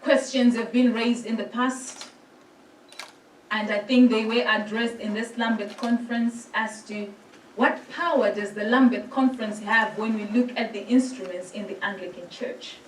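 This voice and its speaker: A woman from Zimbabwe, a priest giving a formal address and reading scripture in a measured, gentle way. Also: fairly high pitch, fairly even intonation, a little hiss or hum. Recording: roomy hall sound from a distant mic.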